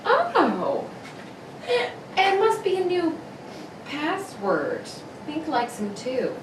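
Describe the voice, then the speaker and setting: A woman playing an indulgent, amused suburban mother in a radio drama, with a gently teasing manner. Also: sits high, wildly swooping pitch, very slow, full character voice. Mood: puzzled, polite. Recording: roomy, distant mic, faint noise.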